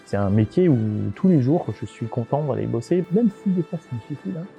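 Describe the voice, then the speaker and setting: A man's voice: a little high, lively intonation, fairly quick, vocal fry, mellow, lo-fi sound, soft background music. A man giving informal interview answers as a local government executive, often hesitating and repeating words as he searches for phrasing.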